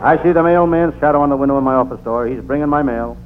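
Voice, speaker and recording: An actor playing a deadpan, world-weary private detective narrating an old-time radio comedy. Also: male voice, mid-range voice, quick pace, natural voice. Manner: deadpan, punning first-person delivery. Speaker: narrator and lead character. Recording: noisy, narrow-band vintage radio recording.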